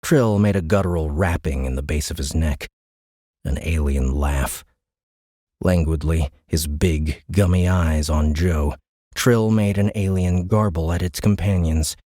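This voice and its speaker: Deep-voiced man narrating dramatic science fiction. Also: dark tone, no echo, clean studio sound. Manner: measured, suspenseful storytelling. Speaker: audiobook narrator. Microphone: close studio microphone.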